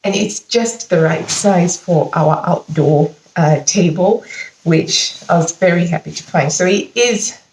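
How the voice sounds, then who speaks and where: Brief: enthusiastic sewing vlogger, slightly bunged-up voice, lower-pitched, echoey home room. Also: female voice, a little slow, slight background noise. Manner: chatty, enthusiastic, animated with frequent laughs. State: slightly congested from hay fever. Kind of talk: casual fabric haul chat. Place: living room at home, indoors. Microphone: camera mic at close range.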